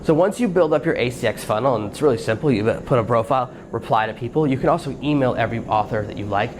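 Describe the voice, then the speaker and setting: A man of middle pitch hosts a solo podcast, walking listeners through each step in a practical, coaching manner. His voice sits at an everyday register, with a faint hiss behind it. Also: lively intonation, quick pace. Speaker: podcast host. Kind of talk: solo podcast advice talk.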